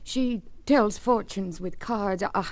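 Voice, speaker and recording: A woman's voice: mid-range voice, slow pace, lightly acted. An actress playing a nervous, evasive well-bred woman in old radio mystery style, speaking hesitantly. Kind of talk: 1940s radio mystery acting.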